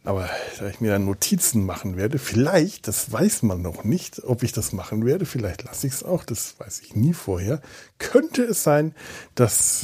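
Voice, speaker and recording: A middle-aged man hosts a solo podcast in a loose, rambling way. His voice sits at an ordinary male pitch and has a husky, unpolished edge. He thinks out loud and often pokes fun at himself. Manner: self-deprecating, meandering, thinking aloud. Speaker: podcast host. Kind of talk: casual rambling monologue. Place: indoors on a sofa at home.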